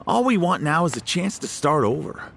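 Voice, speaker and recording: Ruined game merchant: high, very animated voice, plaintive, dramatic, rueful. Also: male voice, normal speed, creaky, slight background noise, heavily acted. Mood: despairing. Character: rueful, despairing bankrupt merchant, humbled and dramatic. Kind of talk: video game voice acting.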